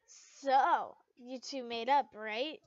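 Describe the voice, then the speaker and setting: A woman's voice: fairly high pitch, drawn-out words, no echo, highs cut off, pitched below the performer's own voice. A woman voicing an eager, teasing teenage schoolgirl friend in a fan fiction story, gushing as she talks.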